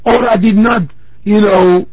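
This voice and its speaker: A man giving a religious talk to a congregation as preacher, measured and repeating phrases for emphasis. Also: high voice, fairly even intonation. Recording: tinny phone-like, dry sound.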